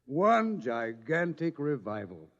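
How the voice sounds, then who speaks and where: An actor playing a wry, authoritative scientist in an old-time science fiction radio drama, speaking deliberately. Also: male voice, on the high side, sing-song. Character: wry, authoritative male scientist facing doomsday. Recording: muffled old radio recording, long reverb.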